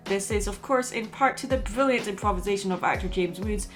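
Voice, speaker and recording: A woman's voice: mid-range voice, fairly quick. A woman host reading a scripted video essay in an opinionated, emphatic way. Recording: music playing behind the voice.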